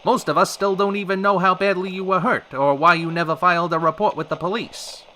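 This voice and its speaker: Man playing a bright, high-pitched retro radio host. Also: fairly even intonation, brisk, slight background noise. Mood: persuasive. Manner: polished, theatrical announcer patter. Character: showy male radio host of a retro variety hour. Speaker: radio show host and interviewer. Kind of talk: old-time radio show acting.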